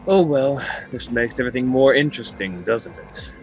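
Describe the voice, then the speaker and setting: A performer voicing a smug, predatory male demon lord in a game fan dub, taunting with drawn-out menace. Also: medium pitch, darkish tone, close to an everyday voice, rough recording. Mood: taunting amusement. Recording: music behind.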